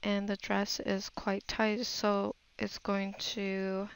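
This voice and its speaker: A woman narrating a casual art tutorial, unhurried and musing aloud as she works. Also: medium pitch, monotone, smooth, low-quality recording. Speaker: digital painting tutor.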